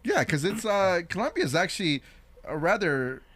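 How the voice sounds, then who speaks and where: A man's voice: sits high, sing-song, normal speed, a bit bright. A man from the United States hosting a podcast in casual chat, putting questions informally and often rephrasing himself. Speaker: podcast host.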